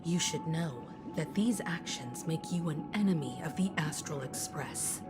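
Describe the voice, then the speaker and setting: Low, poised, firm mature woman. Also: measured pace, over music. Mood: stern, resolute. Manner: cool, firm, articulate. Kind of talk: scripted video game voice acting.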